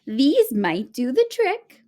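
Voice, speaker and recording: A woman voicing a kind, sweet old woman in a storybook, with a bright, gentle, folksy manner. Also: high-pitched, wildly swooping pitch, takes it slowly, pitched above the performer's own voice, full character voice. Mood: bright, helpful.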